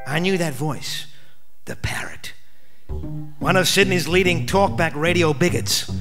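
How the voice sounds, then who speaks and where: An Australian actor playing a wry, world-weary private detective, narrating a noir parody radio play in a deadpan, declamatory way. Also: male voice, on the high side, unhurried, over music. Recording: live stage radio play broadcast.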